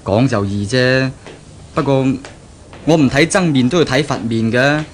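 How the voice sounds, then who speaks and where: Actor as earnest young fiancé, noisy vintage radio. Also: male voice, medium pitch, animated, moderate pace, lightly acted. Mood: exasperated. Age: young adult. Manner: explanatory, earnest, persuasive. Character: young male Japanese graduate, pragmatic, reassuring fiancé. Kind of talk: 1970s Cantonese radio drama acting. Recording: noisy 1970s radio drama recording.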